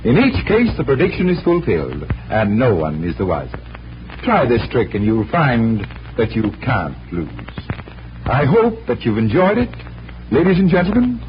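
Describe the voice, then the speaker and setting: A man's voice: mid-range voice, wildly swooping pitch, normal speed. An actor playing a confident, genial magician-detective who explains things like a showman, in vintage radio drama style. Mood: explanatory, genial. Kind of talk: 1940s radio drama acting. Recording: muffled old radio recording with hiss.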